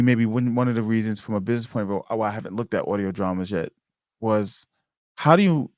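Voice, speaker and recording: Low-pitched man interviewing casually. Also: no room sound, studio quality. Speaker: podcast interviewer and editor.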